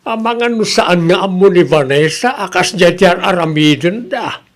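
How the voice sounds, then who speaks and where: A man with an Ilocano Filipino accent playing an expressive husband and father in a rural family comedy radio drama. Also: high-pitched, unhurried. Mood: gossipy, indignant.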